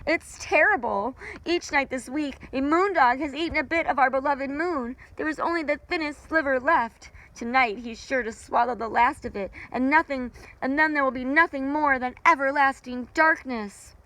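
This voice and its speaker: A woman's voice: sits high, normal speed, few pauses, pushed and bright, pitched above the performer's own voice, rough recording. A woman voicing a timid chorus of small jungle animals who whine and moan in fear. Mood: fearful. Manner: whiny, moaning, fearful.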